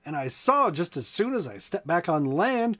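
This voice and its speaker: A man with an American accent reading to children in an expressive, playful way, with casual asides. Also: on the high side, normal speed. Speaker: storytime reader.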